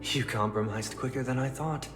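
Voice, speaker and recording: An actor playing a stern, immaculate, devout authority figure in a video game, speaking in a formal, measured, coldly courteous way. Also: male voice, medium pitch, close to an everyday voice. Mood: suspicious. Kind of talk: dramatic video game voice acting. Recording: echoey hall reverb with music behind.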